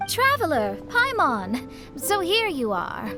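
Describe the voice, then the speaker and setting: A woman's voice: very high-pitched, wildly swooping pitch, slow, over music, full character voice. A woman voicing a composed, articulate, self-assured young female astrologer in a video game. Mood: concerned, confiding. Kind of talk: English video game voice acting.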